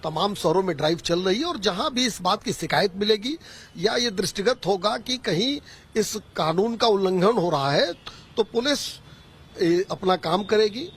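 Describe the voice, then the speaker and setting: A man with a husky voice giving a formal statement as a spokesman. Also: sits high, expressive, moderate pace.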